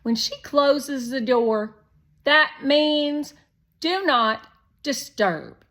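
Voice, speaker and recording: A woman's voice: sits high, extremely slow, a bit bright, voice pushed up, heavily acted. A woman voicing a bookish, particular young boy in a storybook, grumbling and pointed, often drawing words out. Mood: pleading hints. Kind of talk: children's storybook read-aloud.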